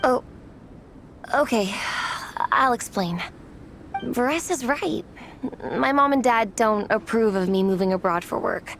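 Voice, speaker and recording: A woman's voice: a little high, expressive, a little slow, vocal fry, pauses often, a bit bright, slight background noise, full character voice. A woman voicing an earnest, determined but self-doubting young artist in a video game, speaking in a hesitant, confiding way. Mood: earnest, frustrated. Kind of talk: scripted video game voice acting.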